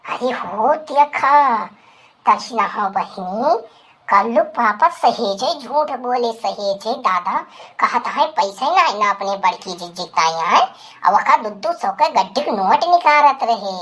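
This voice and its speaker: Woman acting a sharp, high-voiced Awadhi village woman. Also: lively intonation, measured pace, slightly rough, heavily acted. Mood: indignant, angry. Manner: dramatic, scolding, exclamatory. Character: loud village women, scandalized gossips and an angry betrayed wife. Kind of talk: rural cartoon comedy voice acting.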